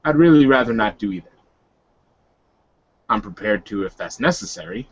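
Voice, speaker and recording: A man playing a weary, coolly menacing male werewolf leader who talks in a halting, guarded, dry way. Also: lowish voice, animated, slightly rough, muffled recording. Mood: grave, resolute.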